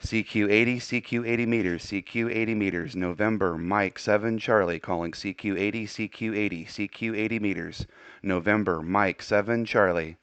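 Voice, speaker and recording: A man's voice: fairly low pitch, fairly even intonation, moderate pace, noisy background. An American man working as an amateur radio operator, speaking courteously in a casual on-air radio style. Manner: courteous, spells with phonetic alphabet.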